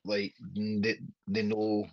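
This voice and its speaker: A low-voiced, husky man in his thirties with a strong Glaswegian accent talks as a guest on a podcast. He rambles and swears casually, and he keeps asking whether his listener follows him. The audio sounds dull and lo-fi, with a dry, close feel. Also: monotone, slow, no room sound. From Scotland. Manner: rambling, sweary, keeps asking "do you know what I mean". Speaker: pro wrestler guest.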